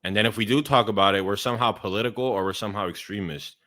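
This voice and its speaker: A man's voice: fairly low pitch, rapid-fire, bright, forceful tone. A man hosting a podcast as a fitness coach, talking in a laid-back, slangy way. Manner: laid-back, slangy, says "bro" and "dude". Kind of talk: casual podcast conversation.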